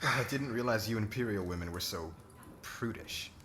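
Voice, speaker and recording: A man's voice: fairly low pitch, moderate pace, heavy background noise, lightly acted. A man playing a cocky, flirtatious warrior commander in an ASMR roleplay, with a brash, teasing way of talking. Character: cocky, flirtatious warrior commander, crude suitor turning contrite.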